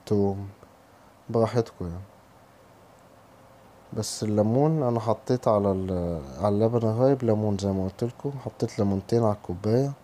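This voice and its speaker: A man with an Egyptian Arabic accent, hoarse from a cold, casually talking viewers through a recipe step by step in his home kitchen. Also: on the low side, dark tone, a little hiss or hum. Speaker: home cooking channel host. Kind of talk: cooking tutorial voice-over.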